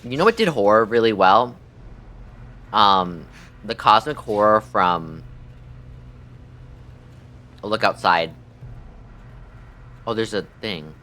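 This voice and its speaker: Bright-voiced man streaming a game. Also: lowish voice, expressive, takes it slowly, long pauses. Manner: profane, jokey, thinking aloud. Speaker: livestreamer playing a horror game. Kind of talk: casual gaming livestream commentary.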